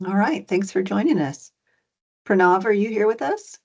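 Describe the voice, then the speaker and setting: A woman's voice: mid-range voice, animated, moderate pace, vocal fry, a little mellow, dull audio. A woman moderating an online student panel as program director, welcoming and methodical, with frequent fillers. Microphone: video call.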